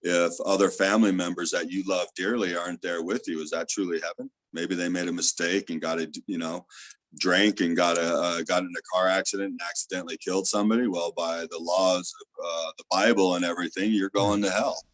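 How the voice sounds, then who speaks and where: Guest: man, very low voice, loud and earnest, dry close sound, explains with many fillers. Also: fairly even intonation, a little fast, dry recording, low-quality recording. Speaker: theta healer guest. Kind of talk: casual spiritual interview talk.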